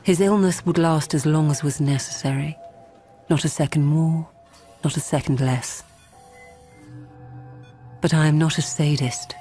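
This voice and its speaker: An actress playing a calm, ruthless politician who narrates a radio drama in a measured, controlled and quietly menacing way. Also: female voice, low-pitched. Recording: music behind the voice.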